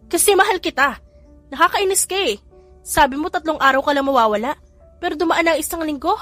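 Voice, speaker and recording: A woman doing a shrill scolding young mother. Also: quick pace, a bit bright, faint music behind. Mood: worried pleading. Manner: scolding, high and emphatic. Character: exasperated loving young mother, sharp-tongued.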